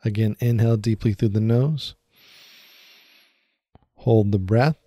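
A man leading a guided meditation in a soothing, unhurried way, speaking in short phrases with long pauses. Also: on the low side, fairly even intonation, mellow, no room sound, clean studio sound.

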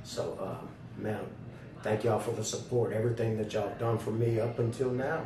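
A slightly hoarse man giving a casual, folksy product review straight to his viewers. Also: fairly low pitch, steady tone, moderate pace. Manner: folksy, colloquial, addressing viewers directly. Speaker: product reviewer, channel host. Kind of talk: casual chatty holster video review. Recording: roomy, distant mic with loud background noise.

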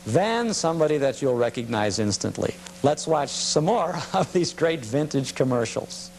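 American man presenting over loud hum. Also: mid-range voice. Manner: halting, reminiscing. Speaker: presenter of vintage broadcasting programme. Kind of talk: informal spoken introduction to film clips. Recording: steady hum and noise.

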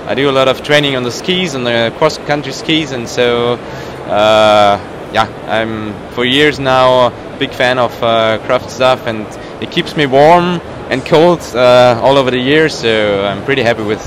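A man's voice: medium pitch, a little slow. A man of about thirty, not a native English speaker, giving a casual interview as a professional cyclist, searching for his words. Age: around 30. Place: indoor trade show booth. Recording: noisy trade show hall, background ambience.

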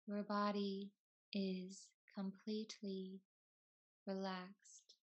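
Woman guiding meditation very slowly. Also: mid-range voice, completely flat delivery. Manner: soothing, unhurried, short phrases with long pauses. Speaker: yoga teacher leading a guided meditation. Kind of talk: slow guided meditation narration.

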